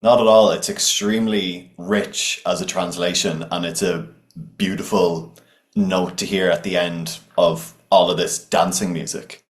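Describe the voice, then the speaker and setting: Man, deep voice, enthusiastic interviewer, roomy echo. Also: fairly even intonation, a little slow. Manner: enthusiastic, complimentary questions. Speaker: interviewer host. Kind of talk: casual interview chat.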